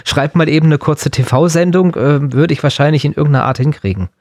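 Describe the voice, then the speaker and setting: A man's voice: on the high side, fairly quick, a little mellow, no echo, clean studio sound. A man co-hosting a casual podcast, talking in a spontaneous, jokey way and prone to chuckling. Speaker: podcast co-host. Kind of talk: casual humorous podcast chat.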